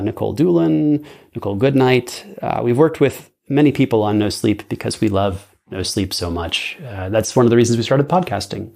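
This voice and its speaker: A native English-speaking man chatting casually as a podcast guest, mixing his English with halting German. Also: mid-range voice, vocal fry, darkish tone. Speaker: podcast guest, audio drama creator. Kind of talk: casual interview chat.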